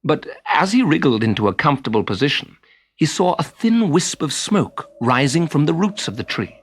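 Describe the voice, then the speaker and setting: A man narrating a children's story in an expressive storybook style. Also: on the high side, moderate pace, breathy edge, dry recording.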